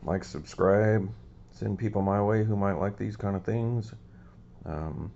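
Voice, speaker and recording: Man, deep voice, scratchy today, rambling ums, muffled lo-fi sound, faint hiss. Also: steady tone, measured pace, gravelly, mellow. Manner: easygoing, rambling with many ums. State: slightly scratchy voice. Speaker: card collecting channel host. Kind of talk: casual show-and-tell of cards.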